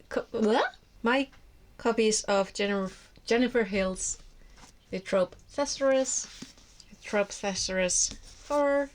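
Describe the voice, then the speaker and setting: A woman with an Icelandic accent, for whom English is a second language, talks casually to her viewers. Her voice has a gravelly, creaky edge at a middling pitch. She rambles, restarts her sentences and drifts off onto tangents. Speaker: book vlogger. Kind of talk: casual monologue to camera.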